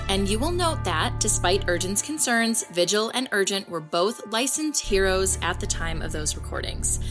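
A woman playing a composed, resolute podcast host, speaking in a measured, formal and firm way. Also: medium pitch, lightly acted. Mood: firm, unyielding. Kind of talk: scripted podcast address.

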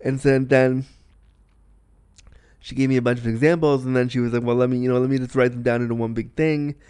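A man's voice: mid-range voice, level tone, brisk, a little mellow, smooth, no room sound, clean studio sound. A man hosting a podcast, chatting casually and rambling, sniffly with a cold. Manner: chatty, rambling, repeats himself. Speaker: podcast host. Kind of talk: casual solo podcast chat.

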